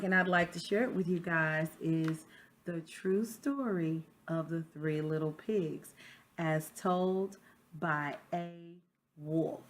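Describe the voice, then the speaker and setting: A woman teacher chatting to children during a read-aloud, often putting questions to her listeners. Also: fairly low pitch. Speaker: sixth grade teacher reading aloud.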